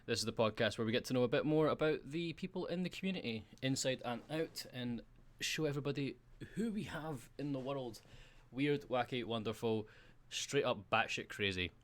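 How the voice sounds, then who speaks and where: A Scottish man hosting a casual podcast, croaky from just waking up, jokey and teasing, laughing often. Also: mid-range voice, brisk, few pauses. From Scotland. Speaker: podcast host. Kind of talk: casual live-streamed podcast chat.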